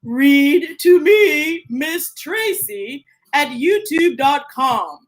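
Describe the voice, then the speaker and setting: A woman's voice: high voice, lively intonation, drawn-out words, soft background music, low-quality recording. An American woman chatting casually and playfully to young viewers as a children's story time host, stretching words for emphasis.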